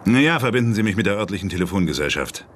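A man's voice: on the low side, lively intonation, very fast, a little hiss or hum, natural voice. An actor playing a crude, vengeful hitman in a German radio drama, muttering his threats. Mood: falsely polite. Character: menacing male hitman, vengeful, crude, slick when lying.

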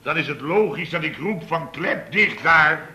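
An actor playing a blustering, indignant boss of a building firm in a Dutch radio comedy, grumbling at length and sputtering with exasperation. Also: male voice, fairly high pitch, animated, unhurried, sharp tone, heavily acted. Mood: scolding. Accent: Netherlands Dutch. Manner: exasperated, sputtering, long-winded comic grumbling. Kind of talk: Dutch radio comedy acting around 1970. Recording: hissy old radio recording.

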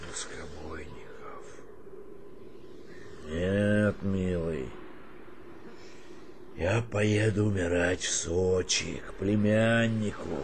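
Radio drama role: grumbling old commanding officer, brusque and tired, with noisy ambience or music. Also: male voice, medium pitch, mellow. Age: older. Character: weary, grumbling old army colonel, unit commander.

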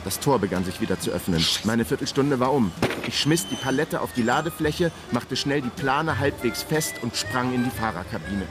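A man's voice: mid-range voice, animated, moderate pace, few pauses, music in the background, lightly acted. An actor playing a hapless, wry male investigator who tells his own story in a radio crime drama. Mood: cursing, flustered. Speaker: actor playing the lead and narrator. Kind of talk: radio crime drama, first-person narration.

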